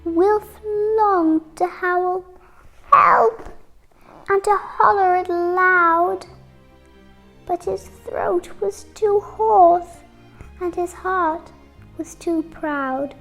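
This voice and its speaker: An eight-year-old girl reading a children's picture book aloud, expressively and with deliberate pauses. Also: very high-pitched, vocal fry, fairly bright tone, faint music behind. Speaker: young storybook reader.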